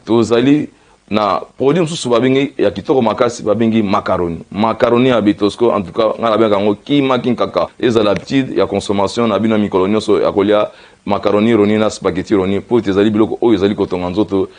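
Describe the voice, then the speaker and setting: A man's voice: on the low side, fast, darkish tone. A man promoting a food product with insistent, repetitive praise. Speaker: advertising pitchman.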